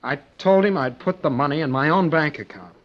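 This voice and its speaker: An actor playing a guilty, desperate young nephew under suspicion in an old-time radio drama, hesitant and defensive. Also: male voice, fairly high pitch, lively intonation, brisk, muffled recording, natural voice. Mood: rueful. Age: young adult.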